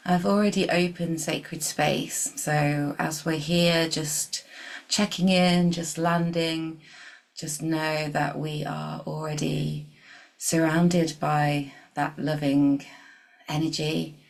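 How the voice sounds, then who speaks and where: A woman leading a guided meditation in a soft, gentle, unhurried way, leaving long pauses and at times dropping toward a breathy whisper. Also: sits low.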